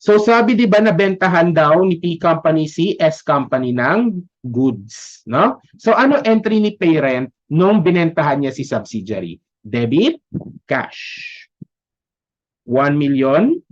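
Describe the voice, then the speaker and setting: A man's voice: on the high side, very animated, a little slow. A man with a Filipino accent giving a conversational lecture, often checking in with short tag questions. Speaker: accounting lecturer. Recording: dull, lo-fi voice recording.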